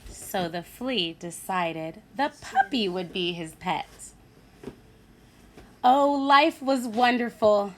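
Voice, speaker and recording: A woman teacher reading a picture book aloud to children, animated and asking her listeners questions. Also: medium pitch, takes it slowly, slight background noise.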